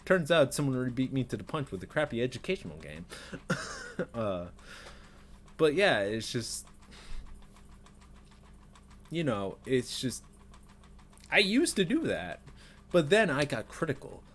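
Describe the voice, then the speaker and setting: A man's voice: medium pitch, big pitch swings, measured pace, long pauses, slight background noise. A man in his twenties rambling self-critically in an unedited personal vlog, with nervous laughs and many fillers, recorded in a small bedroom on a desk webcam. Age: 20s. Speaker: vlogger.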